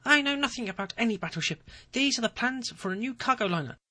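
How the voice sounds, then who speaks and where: A man's voice: animated, quick pace, sharp tone, dry recording, heavily acted. A man doing a panicky blustering president. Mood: desperate. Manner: high-pitched desperate pleading.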